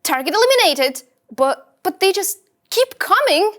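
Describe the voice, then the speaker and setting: A woman plays a keen young starship officer at a script read. The voice is extremely high and lively in pitch, earnest, nervous and excitable. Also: a little slow, full character voice. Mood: earnest, worried. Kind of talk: character table read of sci-fi script.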